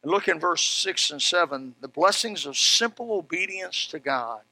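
A male preacher with a high, forceful voice delivers a Bible sermon. His manner is folksy and emphatic, repeating key phrases for weight. The sound is close and dry. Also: animated, normal speed, no room sound, low-quality recording. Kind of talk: animated Bible sermon with personal anecdotes.

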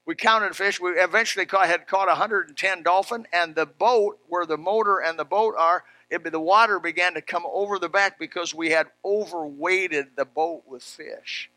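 A male preacher with a high, forceful voice delivers a Bible sermon. His manner is folksy and emphatic, repeating key phrases for weight. The sound is close and dry. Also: moderate pace, hardly any pauses, dry recording. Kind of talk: animated Bible sermon with personal anecdotes.

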